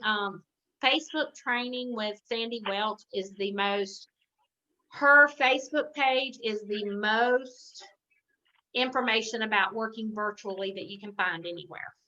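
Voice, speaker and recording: A woman's voice: on the high side, bright, forceful tone. A woman giving an informal training talk on a conference call, chatty and rambling, often thinking aloud. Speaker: sales director training consultants. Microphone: conference call line. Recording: dull, lo-fi conference call audio.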